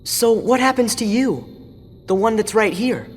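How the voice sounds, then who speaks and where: A man's voice: high-pitched, measured pace, husky. A man voicing an earnest, curious teenage boy hero in a video game cutscene. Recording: game audio with long reverb and music.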